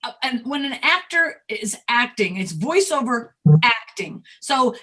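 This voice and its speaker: A woman's voice: a little high, big pitch swings, measured pace, a bit bright. A woman hosting a casual, conversational interview. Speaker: interviewer, host.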